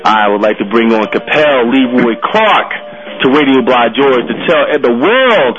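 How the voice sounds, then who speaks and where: A man's voice: mid-range voice, lively intonation, a little slow, fairly bright tone, highs cut off, soft background music. A man in his thirties hosting a radio interview, talking in a casual, friendly way and often repeating words. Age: 30s. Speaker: radio show host.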